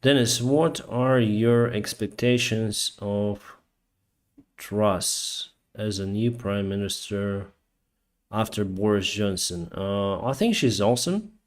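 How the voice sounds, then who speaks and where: Ukrainian guy on a livestream, lowish voice, takes his time, kinda halting, chatting casually. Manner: hesitant, halting. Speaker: live stream host, airline pilot. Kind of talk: casual live chat, reading and answering viewer comments.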